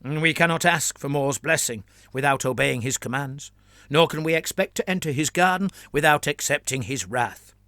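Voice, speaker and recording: A man's voice: on the high side, normal speed, sharp tone, no room sound, studio quality. A man voicing a stern, zealous senior priest who preaches with earnest insistence. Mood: urgent. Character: stern zealous male priest, pleading for war.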